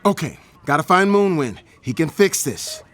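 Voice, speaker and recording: A man playing an eager, excitable music teacher in a children's storybook, animated and urgent. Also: on the high side, moderate pace, slight background noise. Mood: determined.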